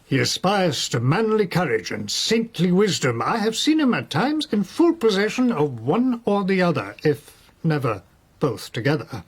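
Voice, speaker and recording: An older court noble, wry and whimsical, dodges with irony; radio play. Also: male voice, high voice, sing-song, moderate pace, slightly rough, exaggerated voice. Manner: musing, playful, gently ironic. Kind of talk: British radio drama acting.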